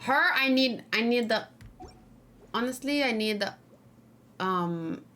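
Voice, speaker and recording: Excitable high-pitched woman streaming a game. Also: takes it slowly, slight background noise. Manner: excitable, exclaims and repeats phrases, thinks aloud. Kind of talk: casual let's play chat and live game commentary.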